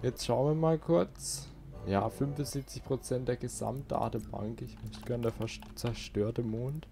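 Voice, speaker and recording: A man's voice: mid-range voice, a little slow, gravelly. A man giving casual let's play commentary, muttering and grumbling to himself. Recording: loud game music and effects behind. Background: video game music and sound effects.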